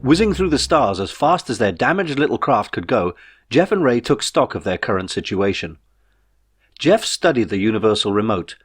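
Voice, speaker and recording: A man reading his own novel as a scripted audiobook, in a measured storytelling manner. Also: mid-range voice, very animated, breathy edge.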